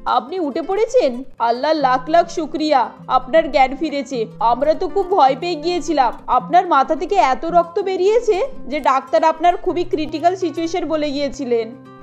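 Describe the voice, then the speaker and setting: A woman playing a sharp-tongued high-voiced lady. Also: moderate pace, highs cut off, faint music behind, natural voice. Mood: worried. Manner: emphatic, scolding. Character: adult women: scolding employer and worried mother. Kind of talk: Bengali cartoon dubbing.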